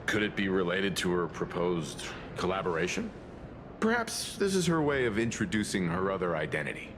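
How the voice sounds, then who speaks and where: A man playing a mature, scholarly gentleman in a video game, speaking politely and formally with boyish enthusiasm underneath. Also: low-pitched, huge pitch swings, moderate pace, noisy background. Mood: courteous, thoughtful. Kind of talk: anime-style video game voice acting. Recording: music behind the voice.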